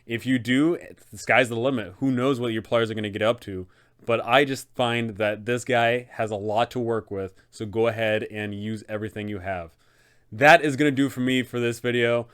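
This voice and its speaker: A man's voice: medium pitch, steady tone, moderate pace, a bit bright, clean tone. A young adult man hosting an explanatory tabletop game video, speaking in an enthusiastic, emphatic way. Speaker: host, game master advisor. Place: small room indoors, seated.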